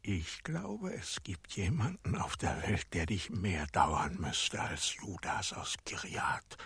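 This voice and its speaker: A stern Roman procurator in a radio drama, imperious and cynical. His delivery is slow and husky, swinging widely from harsh whisper to command, in a dry studio sound. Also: sits low, huge pitch swings, no echo, full character voice. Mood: bitterly sarcastic. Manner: commanding, deliberate, dropping to harsh whispers and rising to shouts. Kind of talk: literary radio play acting.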